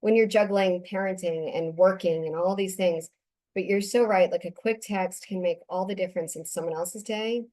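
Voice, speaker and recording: Low-voiced woman hosting a podcast. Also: steady tone, normal speed, darkish tone, poor audio. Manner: conversational, affirming. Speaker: podcast host. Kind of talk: casual podcast interview.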